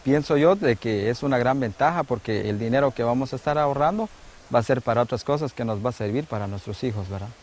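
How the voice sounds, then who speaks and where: A man's voice: mid-range voice, rapid-fire, darkish tone, a little hiss or hum. A man, a village resident and parent, giving an earnest, plainspoken interview comment.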